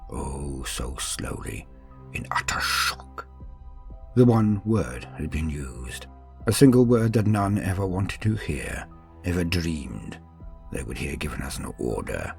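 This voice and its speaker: A man narrating scripted horror fiction dramatically, with grave, suspenseful, deliberate phrasing. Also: low-pitched, vocal fry, darkish tone. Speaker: storyteller.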